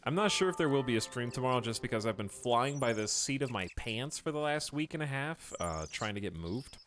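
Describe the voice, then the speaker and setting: A man's voice: medium pitch, animated, fairly quick, fairly bright tone, slight background noise. A man streaming a building game who talks casually with his chat and thinks aloud as he plays. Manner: rambling, thinking aloud while playing. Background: game music.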